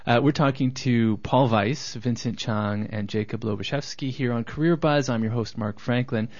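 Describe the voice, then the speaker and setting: A man's voice: medium pitch, normal speed. A man hosting a radio interview, asking friendly, probing questions. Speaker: radio show host. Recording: dull lo-fi radio recording.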